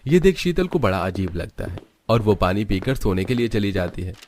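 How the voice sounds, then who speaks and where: A man's voice: on the low side, very animated, normal speed, darkish tone, slight background noise. A man reading scripted storybook narration for a cartoon tale.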